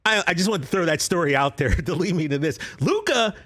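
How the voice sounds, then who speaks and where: A man co-hosting a sports podcast, telling animated stories in casual banter. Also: high voice, brisk. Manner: animated storyteller, trash-talking banter. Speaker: podcast co-host.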